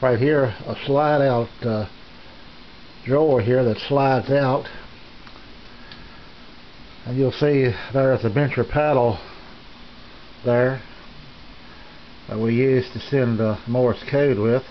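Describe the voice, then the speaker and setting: A man with a mid-pitched voice talks casually and without hurry, as a hobbyist showing visitors around. His delivery is halting and plain-spoken. The sound is dull and lo-fi, with faint noise underneath. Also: steady tone. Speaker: amateur radio hobbyist giving a tour. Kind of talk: casual unscripted equipment tour. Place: small home radio shack, indoors.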